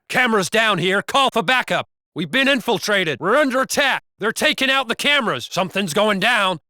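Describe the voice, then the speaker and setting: A man's voice: sits high, pushed and bright, no room sound, clean studio sound. A man voicing an aggressive, foul-mouthed police officer barking urgent commands in a video game. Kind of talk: scripted video game combat barks.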